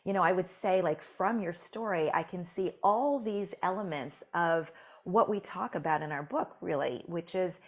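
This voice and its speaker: A woman's voice: on the low side, moderate pace. A woman author speaking as a guest on an interview. She talks in an encouraging way, with many "you know"s. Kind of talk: conversational interview.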